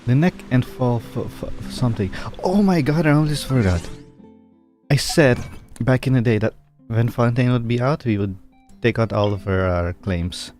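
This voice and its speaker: A man's voice: medium pitch, moderate pace, darkish tone, soft background music. A man doing casual video game playthrough commentary, chatting and reading dialogue aloud as he plays. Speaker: game streamer.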